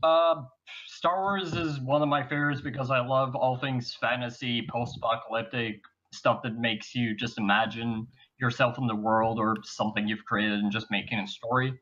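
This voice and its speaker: Young man from bulgaria, bright tense voice, rambling, dull lo-fi audio. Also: medium pitch, fairly even intonation, normal speed. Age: young adult. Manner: rambling, casual. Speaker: player.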